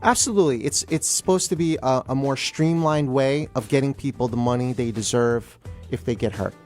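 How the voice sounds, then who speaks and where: A man speaking as a guest attorney in a radio interview, explaining plainly in halting phrases. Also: mid-range voice, darkish tone, soft background music.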